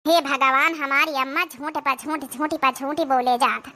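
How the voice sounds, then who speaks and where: A woman's voice: high voice, fairly even intonation, moderate pace, bright, forceful tone. A woman with an Awadhi accent voicing a long-suffering, dutiful young village stepdaughter in a comic cartoon. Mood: weary, aggrieved. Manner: plaintive.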